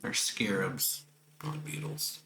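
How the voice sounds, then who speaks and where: A man's voice: a little high, lively intonation, takes it slowly, poor audio. A man giving casual let's play commentary in a laid-back way, with short muttered reactions. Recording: game music behind the voice.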